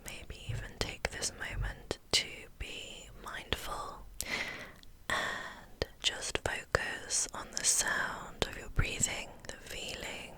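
Asmr lady whispering a guided relaxation, super slow n soothing, all breath. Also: rough recording. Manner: gentle, soothing, unhurried instruction. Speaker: ASMR channel host.

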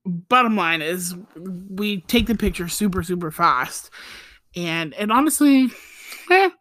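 A woman's voice: fairly low pitch, expressive, measured pace, a bit bright, no room sound. A young woman podcast host telling a story in a casual, chatty way, full of "like" and "you know" and laughing asides.

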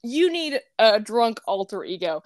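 Giggly tipsy young woman, high voice. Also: very animated, unhurried, no echo, studio quality. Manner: giggly, rambling, loud bursts of laughter. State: tipsy from wine. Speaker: podcast co-host. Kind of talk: casual chat.

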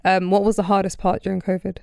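A woman's voice: medium pitch, flat delivery, fast, gravelly, darkish tone, no room sound, studio quality. A woman interviewing in a casual, supportive conversation, with an empathetic, affirming way of talking.

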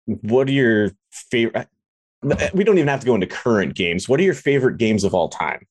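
A man's voice: mid-range voice, sing-song, brisk, breathy edge, no echo. A man hosting a podcast in casual interview chat, talking like a friendly, enthusiastic fan.

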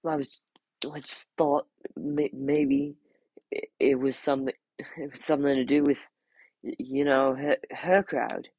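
A man's voice: mid-range voice, expressive, unhurried, gravelly, pauses often, darkish tone, breathy edge, dry recording, full character voice. A man voicing a nervous, stuffy middle-aged husband who hesitates and stammers, in a storybook reading.

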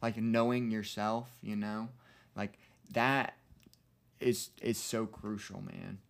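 Male podcast co-host, early twenties. Lowish voice, earnest, slangy, loose and rambling conversational delivery. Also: fairly even intonation, slow pace, vocal fry. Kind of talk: casual reflective podcast chat.

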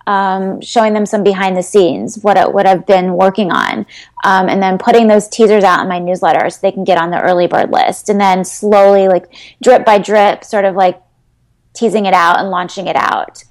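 A woman's voice: mid-range voice, normal speed. Earnest woman coach, rambling answers. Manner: earnest, rambling, many ums and restarts. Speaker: health coach guest. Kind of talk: casual interview answers.

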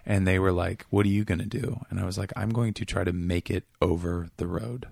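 A man from Seattle, a comedian guest in casual interview chat, talking in a rambling, self-deprecating way with a voice that is getting raspy. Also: deep voice, steady tone, fairly quick, a little mellow, dry recording, clean studio sound. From Seattle area, USA.

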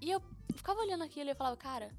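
A young woman from Brazil talks fast in a chatty, informal way, laughing often. Her voice sits high. Faint music plays softly behind her. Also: lively intonation. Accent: Brazilian Portuguese. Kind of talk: casual chat.

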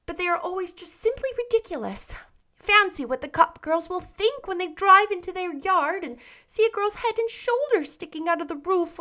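A woman's voice: very high-pitched, fairly bright tone, pitched above the performer's own voice, full character voice. A woman voicing a talkative, dramatic teenage girl in a storybook reading, with a fanciful, gushing way of speaking. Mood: fretful.

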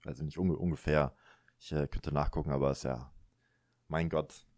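A man's voice: very deep voice, fairly even intonation, moderate pace, a little mellow, dry recording, studio quality. A man in his thirties hosting a casual chat, laid-back and rambling, often tagging his sentences with little filler questions. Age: 30s. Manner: laid-back, rambling, self-mocking, frequent filler tags. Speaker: podcast host.

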